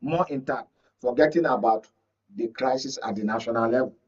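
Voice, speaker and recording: Male Nigerian pundit, explanatory and checking he is understood, slightly rough voice, dull distant recording. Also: medium pitch, a little fast. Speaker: media analyst, studio or call-in guest. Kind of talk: political analysis in live discussion. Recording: muffled, distant from mic.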